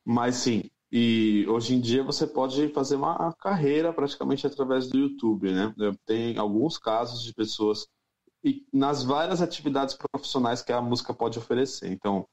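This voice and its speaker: A man from Brazil, a music teacher and producer, talking through his plans in a relaxed, thoughtful interview. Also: mid-range voice, highs cut off. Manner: thoughtful, unhurried, many fillers. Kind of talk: casual interview talk.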